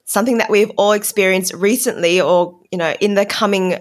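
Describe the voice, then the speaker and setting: A woman's voice: medium pitch, steady tone, fairly quick. A woman speaking as a podcast host in a casual, conversational style.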